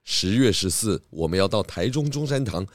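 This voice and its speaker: A man's voice: lowish voice, expressive, moderate pace, dry recording, studio quality, close to an everyday voice. A man playing a jovial, boastful pig detective chief in a children's radio drama.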